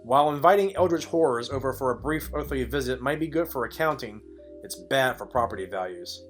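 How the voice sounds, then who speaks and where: Casting: man to play world-weary private eye narrator for noir spoof audio play, mid-range voice, dry deadpan wit. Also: medium pitch, a little fast, faint music behind, close to an everyday voice. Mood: wry, deadpan. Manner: deadpan, dryly wry. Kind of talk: film noir parody narration.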